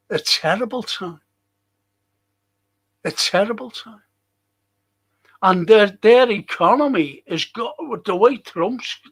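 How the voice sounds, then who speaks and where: Slow-talking Liverpool man, high voice. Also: gravelly, a bit bright, breathy edge. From England. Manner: rambling, repeats phrases for emphasis. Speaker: livestream host. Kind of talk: casual livestream commentary reading viewer comments.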